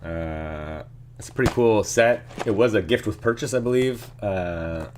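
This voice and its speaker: A low-voiced man hosts a casual live stream, his voice a little hoarse and stuffy from a sinus infection. He chats easily and reads out viewers' messages while working on a set. Faint rustling and crinkling of plastic bags sits in the background. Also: measured pace, a little hiss or hum. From Canada. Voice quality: slightly hoarse, congested. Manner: relaxed, chatty, reading out chat messages. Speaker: live stream host building LEGO.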